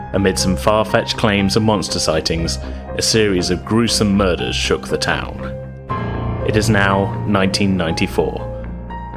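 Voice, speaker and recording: Low-voiced man running a tabletop game. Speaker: game master, podcast host. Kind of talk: narrating a tabletop roleplaying game.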